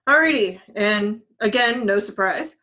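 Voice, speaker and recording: A woman's voice: mid-range voice, animated, a little slow, bright, forceful tone. Casual, enthusiastic woman podcaster. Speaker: podcast co-host. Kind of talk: casual panel chat about games and movies.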